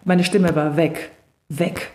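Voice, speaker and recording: A middle-aged woman with a slightly low voice talks through stage fright in a calm, explanatory way. She reassures her conversation partner like an experienced coach. The room around her has a noticeable echo. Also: takes it slowly. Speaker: voice and charisma coach. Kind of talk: podcast conversation. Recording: echoey room.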